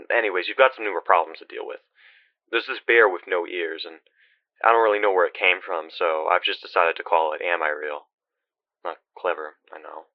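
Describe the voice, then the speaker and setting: A man's voice: deep voice, highs cut off. A man playing a weary, anxious, sardonic male guide in a video game, talking conversationally with hesitant, muttered asides. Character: weary dead man guiding his friend through purgatory, anxious, sardonic.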